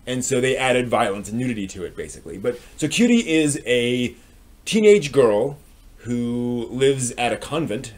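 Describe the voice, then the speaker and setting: Casual man reviewing a show on stream. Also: mid-range voice. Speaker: live stream host reviewing anime. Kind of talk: casual unscripted review commentary.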